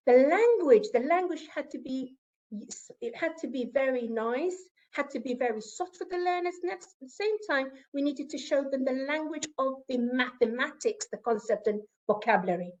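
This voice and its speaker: A woman's voice: high voice, highs cut off. A woman whose first language is Arabic, giving an academic conference presentation in English and explaining with asides to the audience.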